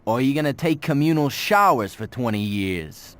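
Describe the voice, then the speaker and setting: A man's voice: mid-range voice, lively intonation, normal speed, natural voice. A man playing a hard-boiled, cynical detective in a video game, pressing with curt, sardonic questions. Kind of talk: video game cutscene acting.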